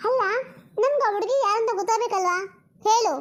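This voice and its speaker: A woman's voice: extremely high pitch, fairly quick. A woman voicing a suspicious, nosy, impatient young woman in a cartoon story, pressing others with accusing questions. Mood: exasperated. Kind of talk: amateur cartoon story dialogue.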